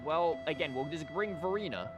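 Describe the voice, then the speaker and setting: A man's voice: fairly high pitch, animated, brisk. A man giving casual playthrough commentary as a game streamer, with wry, joking, rambling asides. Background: game music.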